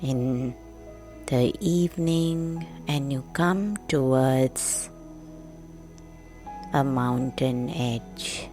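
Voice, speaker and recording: A woman narrating a guided sleep meditation in a gentle, hushed, soothing manner with long pauses. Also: extremely low pitch, soft background music. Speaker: meditation guide, podcast host.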